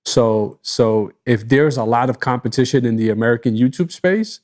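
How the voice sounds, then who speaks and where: A man whose first language is Arabic co-hosts a laid-back business chat. His voice is mellow and dark. He sounds easygoing and keen, dropping in casual slang. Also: medium pitch, moderate pace. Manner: relaxed, enthusiastic, casual slang. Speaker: podcast co-host.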